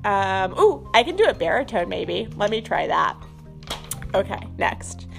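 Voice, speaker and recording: A woman's voice: mid-range voice, big pitch swings, measured pace, creaky, faint music behind. A woman hosting a solo podcast in a casual, rambling stream of consciousness, playful and self-deprecating. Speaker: podcast host.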